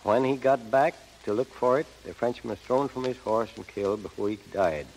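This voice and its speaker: A man's voice: mid-range voice, a little fast, a little mellow. An older man speaking in a folksy, plainspoken way as a guest in a scripted 1930s radio interview. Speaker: gold prospector guest. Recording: noisy, echoey 1930s radio broadcast.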